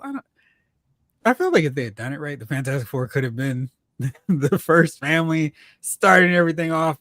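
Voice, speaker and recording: A man's voice: a little high, a bit bright, no echo, studio quality. A man hosting a live talk stream, chatting casually and giving fan commentary. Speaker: host of a live talk stream on movies and comics.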